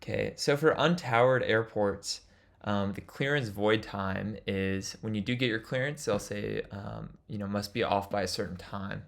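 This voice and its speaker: A man's voice: lowish voice, moderate pace, vocal fry. A man giving an instructional lecture as a flight instructor, explaining things in an informal way.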